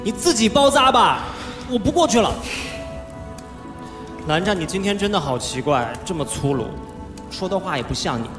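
An actor playing a playful, cheeky young wuxia cultivator, chattering and teasing in live stage audio-drama style. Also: male voice, high-pitched, very animated, moderate pace, long pauses. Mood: indignant. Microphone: handheld microphone. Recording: live stage, echoey hall, music behind.

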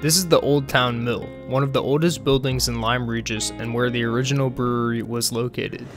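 A young man reading scripted documentary narration in a measured, formal way. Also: mid-range voice, fairly even intonation. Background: soft plucked guitar music.

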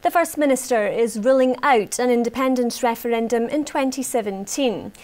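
A woman's voice: fairly high pitch, a little mellow. A Scottish woman in her thirties reading scripted news formally as a studio presenter. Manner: formal, measured delivery. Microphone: lapel mic.

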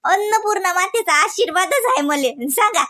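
A doting cartoon village mom, very high and bright, with a Varhadi Marathi accent. She is gullible and proud, gushing in exclamations and repeating her words. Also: lively intonation, normal speed, heavily acted. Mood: flattered, delighted. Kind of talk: comedy cartoon dialogue.

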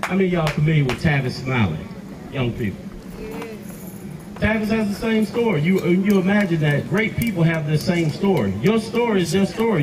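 A man delivers an earnest, encouraging talk into a handheld mic on an outdoor stage. His fairly high voice is picked up from a distance by the camera, with steady outdoor noise underneath. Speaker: event speaker. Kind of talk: motivational speech to youth. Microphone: handheld mic, far camera.

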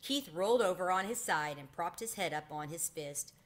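Woman, middle-aged, slightly low voice, children's story narration, read-aloud. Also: animated, normal speed. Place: small home room with bookshelves, indoors. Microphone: webcam or laptop at arm's length.

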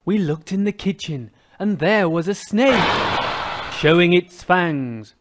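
An American man narrates a children's cartoon story in a fairly high male voice. He goes slowly, with very lively intonation. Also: heavy background noise.

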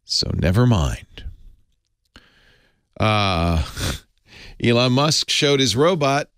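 A man's voice: mid-range voice, sing-song, very slow, a bit bright, clear, resonant tone, no room sound, clean studio sound. An older man hosting a radio show, making wry, joking commentary. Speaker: radio host.

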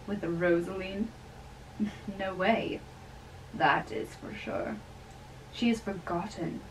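A woman's voice: mid-range voice, slow pace. A lovestruck young man read by a woman in a stage play, respectful and lightly dismissive. The voice sits away from the mic, with faint noise around it. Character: young lovestruck man visiting an older priest. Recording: distant camera mic with faint room noise.

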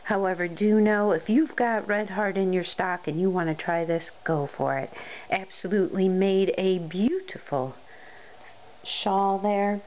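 A woman hosting a crochet how-to, her voice still a bit scratchy and hoarse after a cold, explaining things in a friendly, step-by-step way. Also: medium pitch, fairly even intonation, measured pace, highs cut off. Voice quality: slightly scratchy, recovering voice. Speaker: crochet tutorial host. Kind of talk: casual how-to narration.

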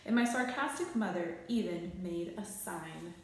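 A woman's voice: on the low side, measured pace, heavy reverb, slight background noise. A young adult woman narrating a children's book aloud in a small bare room. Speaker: storybook reader.

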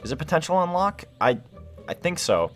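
A young man giving excited, casual gameplay commentary, rambling and thinking aloud in slangy exclamations. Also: fairly high pitch, moderate pace, soft background music. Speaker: gaming video host.

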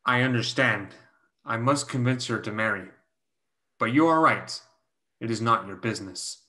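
Protective young Chinese man for a stage drama, male reader: sharp, bright tone, plain-spoken, formally phrased, video-call sound. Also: mid-range voice, normal speed, close to an everyday voice. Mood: guarded, proud. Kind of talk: staged play reading over video call. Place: home room on video call.

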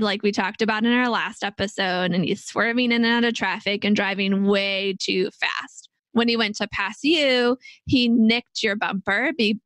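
A woman's voice: mid-range voice, a bit bright, dry recording. Woman co-host explaining money, dry sound. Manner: walks through a worked money example step by step. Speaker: podcast co-host. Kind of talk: casual explanatory chat.

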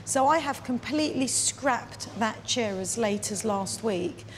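A woman's voice: a little high, animated, a little slow, crowd noise. A middle-aged woman speaking emphatically and dramatically in a documentary interview. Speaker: hotel owner. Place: large bare room under renovation. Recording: prominent music under the speech.

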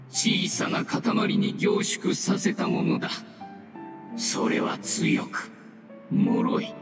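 An actor playing an aged, dying mushroom spirit in a game, solemn and humble, with grave, halting, old-fashioned elder speech. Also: male voice, high-pitched, vocal fry, full character voice. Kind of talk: scripted game dialogue. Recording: roomy echo, distant, under loud music.

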